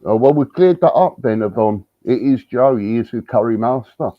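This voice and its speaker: A man's voice: mid-range voice, lively intonation, moderate pace, dry recording, lo-fi sound. A man on a video call, chatting and teasing friends in a playful, exaggerated way, his nose blocked from hay fever. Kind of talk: casual joking chat on a video call.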